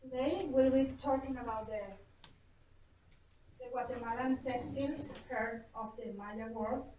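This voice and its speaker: Halting woman presenter in a very echoey hall. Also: on the high side, steady tone, dark tone. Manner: halting non-native English, apologetic. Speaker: presenter introducing guest speakers. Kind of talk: reading prepared introductions aloud. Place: echoey indoor hall at a live event. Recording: very echoey hall, distant muffled mic, faint noise.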